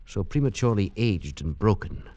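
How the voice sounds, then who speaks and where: An actor playing a sensible, concerned English gentleman in a radio drama, measured and proper. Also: lowish voice, expressive, lo-fi sound, close to an everyday voice. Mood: grave, narrating. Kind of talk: old-time radio drama narration and acting.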